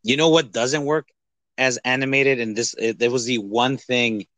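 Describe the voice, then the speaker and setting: A man co-hosting a podcast in casual movie chat, rambling in an animated, hyperbolic way. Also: mid-range voice, moderate pace, dry recording. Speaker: podcast co-host.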